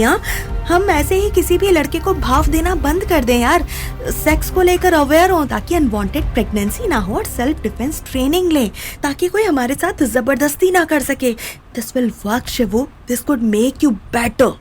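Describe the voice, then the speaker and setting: A woman's voice: high-pitched, a little mellow. An actress playing an anxious, coaxing young woman in an audio drama, a pleading peacemaker who mixes in English phrases.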